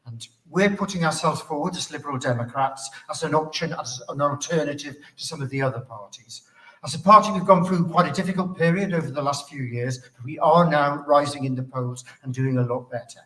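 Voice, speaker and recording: A man giving a measured, formal closing speech as a political candidate at a hustings. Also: on the high side, a bit bright. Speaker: political candidate, local councillor. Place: hustings venue, indoors. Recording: echoey, distant from microphone.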